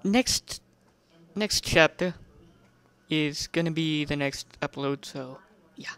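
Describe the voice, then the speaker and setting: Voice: mid-range voice, slow pace, a crowd in the background. A person giving an amateur dramatic reading of a first-person story, stumbling over words and correcting mid-sentence, with theatrical emphasis.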